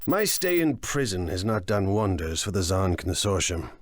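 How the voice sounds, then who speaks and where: An actor playing a proud, ruthless crime lord in a video game, with an imperious, deliberate, menacing delivery. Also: male voice, sits low, sing-song, a little hiss or hum. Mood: brisk command. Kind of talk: dramatic video game acting.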